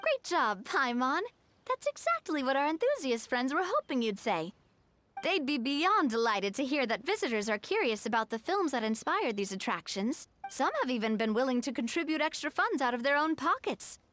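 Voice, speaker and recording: A young noblewoman from a fantasy game, cheerful and poised. Her voice is high and swings melodically as she explains in polished, articulate sentences. Music plays behind her. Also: very animated, moderate pace, fairly bright tone. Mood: cheerful, proud. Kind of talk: video game story dialogue acting. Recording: studio voice over game music.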